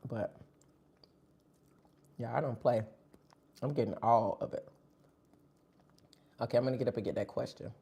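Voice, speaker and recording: Gravelly, husky voice, chatting while eating. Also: medium pitch, moderate pace, pauses often, dark tone. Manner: chatty, talks between bites. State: sniffling. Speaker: live-stream host. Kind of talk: casual live-stream chat while eating.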